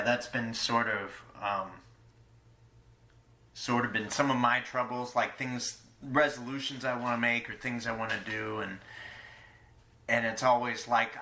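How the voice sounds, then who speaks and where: A man hosting a casual podcast interview, halting and searching for his words as he talks. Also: medium pitch, pushed and bright, slight background noise. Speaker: podcast host.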